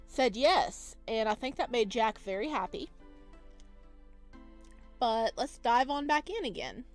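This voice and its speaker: A woman chatting casually and giggly as she plays a game and reads its story text aloud. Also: medium pitch. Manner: chatty, giggly, reacts aloud while reading. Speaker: let's play host and reader. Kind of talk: casual game commentary and reading visual novel text aloud. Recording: music behind the voice.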